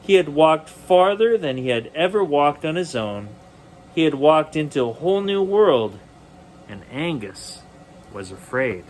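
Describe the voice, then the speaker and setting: A man reading a children's bedtime story aloud expressively, with deliberate dramatic pauses. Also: on the high side, very animated, slight background noise, rough recording.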